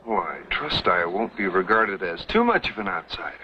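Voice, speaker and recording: An actor playing a slick, glib young counterfeiter with evasive, smooth talk. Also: male voice, low voice, very animated, moderate pace. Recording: dull old TV soundtrack with faint hiss.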